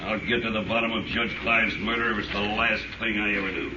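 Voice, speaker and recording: Guy as gruff impatient police boss, low voice, 30s radio, muffled hissy recording. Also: steady tone, fairly quick, roomy sound, natural voice. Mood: brusque. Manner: brusque, commanding. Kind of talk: 1930s radio acting. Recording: muffled, distant, noisy 1930s radio recording.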